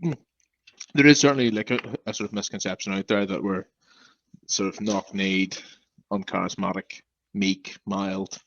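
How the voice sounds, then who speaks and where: A man's voice: low-pitched, fairly even intonation, moderate pace, pauses often, no echo, muffled recording. A young man from Northern Ireland, a podcast guest who stammers, talking bluntly and frankly. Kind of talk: casual interview talk.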